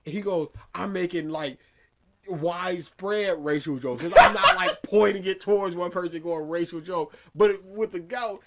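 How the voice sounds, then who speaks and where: A man co-hosting a casual commentary podcast, talking sarcastically and slipping into quick mimicry of the person he is mocking. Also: high voice. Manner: animated, sarcastic, mimics others mid-sentence. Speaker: podcast co-host.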